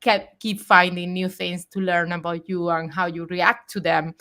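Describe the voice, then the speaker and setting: A woman's voice: fairly low pitch, little pitch movement, normal speed, sharp tone. A woman in her forties hosting a live video chat, speaking in a reflective, earnest way with frequent fillers. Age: 40s. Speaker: host.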